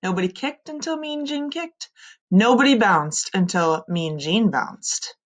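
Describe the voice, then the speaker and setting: A woman in her thirties reading a children's story aloud like a teacher, expressively, with dramatic drawn-out emphasis on key words. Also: medium pitch, animated. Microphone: computer mic on a screen-share video recording.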